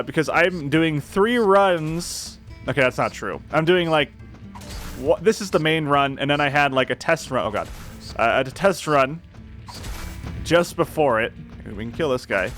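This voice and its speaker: A man's voice: mid-range voice, fairly bright tone. A man giving casual, rambling, self-deprecating commentary while playing a video game. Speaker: gaming video host. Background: music.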